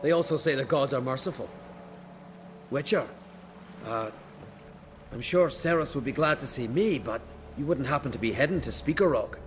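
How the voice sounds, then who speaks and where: An actor playing a bold young island warrior and heir in a video game, talking in clipped, hearty, folksy phrases. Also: male voice, mid-range voice, expressive, moderate pace, pauses often, close to an everyday voice. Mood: rueful, earnest. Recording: game soundtrack music behind.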